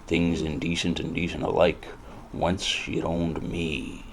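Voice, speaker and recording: An American actor playing a world-weary, cynical, hard-drinking detective who narrates in a brooding, deadpan, gravelly noir style. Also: male voice, low voice, a little slow, a little hiss or hum. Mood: wistful, brooding. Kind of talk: scripted first-person hardboiled noir narration.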